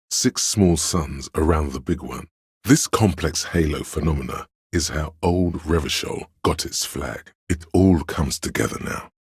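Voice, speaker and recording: A man voicing an encyclopedic inner voice in a video game, narrating in a measured, pedantic, smug manner with a gravelly bass. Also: no echo, studio quality, heavily acted. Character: male inner voice of encyclopedic knowledge, erudite and smug.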